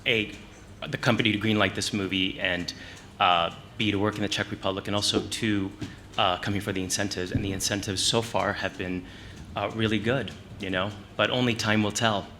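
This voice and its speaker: A film producer answering press questions in a joking way, with many "uh" fillers. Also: male voice, fairly low pitch, steady tone, a little fast, pushed and bright, slight background noise. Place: press conference hall.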